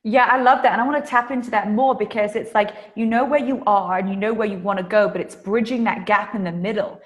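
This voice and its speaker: A woman's voice: medium pitch, steady tone. British woman, podcast host, casual interview energy, enthusiastic and agreeable. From Britain. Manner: upbeat and enthusiastic, quick to agree.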